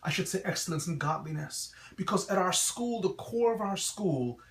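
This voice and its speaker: High-voiced, emphatic Guyanese man in echoey room. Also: expressive, normal speed, far from the mic. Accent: slight Guyanese. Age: middle-aged. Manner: formal, emphatic preacher-like delivery. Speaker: school founder and reverend. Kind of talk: earnest promotional address to camera.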